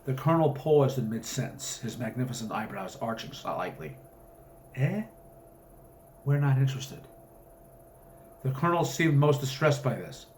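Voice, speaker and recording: A man's voice: mid-range voice, sing-song, brisk, few pauses, slight background noise. A man reading his own short story aloud with a dramatic, literary narrating delivery.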